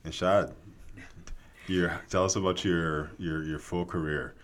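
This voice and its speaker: Male host, middle-aged, very low bass voice, upbeat, praises guests and repeats himself. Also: steady tone, measured pace. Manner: warm, encouraging, repeats words. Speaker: school district superintendent, host. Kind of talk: conversational interview.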